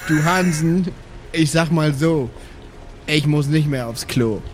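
A man's voice: fairly high pitch, takes it slowly, a little hiss or hum. A man playing a crude, joking old male ghost brother in a live improvised audio play, talking folksy and tagging "du" onto his lines. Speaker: player.

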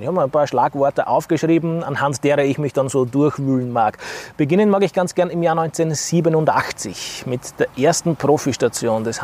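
A man's voice: medium pitch, darkish tone, a little hiss or hum. A man with an Austrian accent interviews as a podcast host, conversationally, in wordy and mock-formal phrases.

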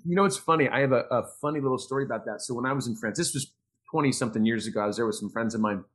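Male podcast host, quick talker, informal and gushing, loose conversational interview style. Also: mid-range voice. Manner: effusive, informal, wordy. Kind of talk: casual interview chat.

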